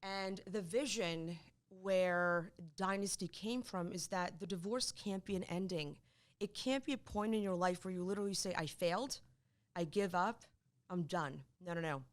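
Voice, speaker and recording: A woman's voice: on the low side, normal speed. Polish-born woman, middle-aged, divorce lawyer talking in an interview, emphatic, says things twice when she gets going. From Poland. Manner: animated, emphatic, repeats words when excited. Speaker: divorce attorney, guest. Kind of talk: conversational interview.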